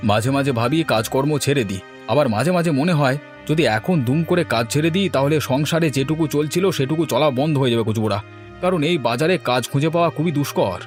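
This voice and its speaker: A man's voice: on the high side, quick pace, faint music behind, close to an everyday voice. A man voicing a weary, downtrodden village labourer in a cartoon, fretful and lamenting. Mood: despairing. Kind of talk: cartoon dialogue.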